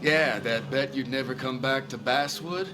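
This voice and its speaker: A man's voice: mid-range voice, normal speed, natural voice. Man playing a sardonic, cynical small-town drunk. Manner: blunt, sardonic, teasing.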